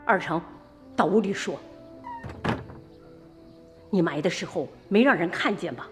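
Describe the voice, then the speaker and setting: A woman's voice: fairly low pitch, lively intonation, measured pace, long reverb tail, music in the background. An actress playing a principled rural mother in a radio drama, firm and admonishing toward her son. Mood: stern.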